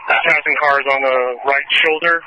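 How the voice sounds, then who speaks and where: A man's voice: a little high, steady tone, moderate pace, sharp tone, slight background noise, rough recording. A man speaking as a police officer over scanner radio, giving clipped procedural reports.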